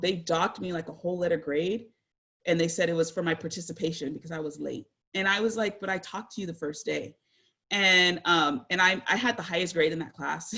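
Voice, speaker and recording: A woman's voice: fairly low pitch, a bit bright. A woman in her forties telling personal stories in a candid, earnest way, with frequent fillers and self-corrections. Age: 40s. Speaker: guest speaker.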